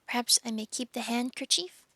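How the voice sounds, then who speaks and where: A woman's voice: a little high, moderate pace, bright, forceful tone, no room sound. A woman voicing a timid, guilt-ridden male faun from a children's storybook in a fretful, earnest manner. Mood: sorry.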